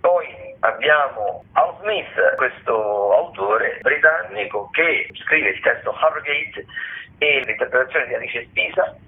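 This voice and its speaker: A man, a theatre critic giving a radio listings rundown in a halting, rephrasing manner. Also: mid-range voice, lively intonation. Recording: thin tinny phone line.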